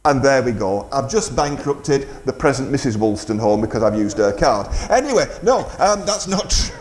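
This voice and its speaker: Man, animated pitch, jokey radio presenter, chatty delivery, echoey live stage. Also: moderate pace. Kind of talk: live radio interview and fundraising appeal. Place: radio studio stage in an indoor market.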